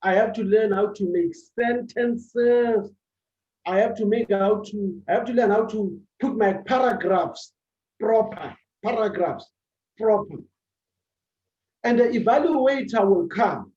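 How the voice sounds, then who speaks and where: A man's voice: high-pitched, slow pace, darkish tone. A man giving a motivational speech at a public speaking club, delivering short emphatic phrases and repeating his key points. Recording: echoey room, dull sound.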